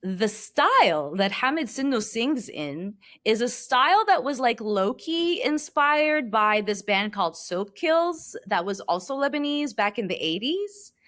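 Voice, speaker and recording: A woman on a podcast talking in an animated, emphatic way, with a lot of "like.". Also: on the high side, measured pace, hardly any pauses, no echo, clean studio sound. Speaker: perfumer guest. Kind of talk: casual podcast conversation.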